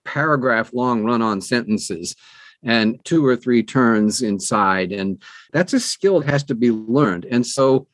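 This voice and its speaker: An older man who narrates audiobooks, talking conversationally in an interview with measured, emphatic phrasing and deliberate pauses. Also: medium pitch, animated, fairly bright tone, dry recording.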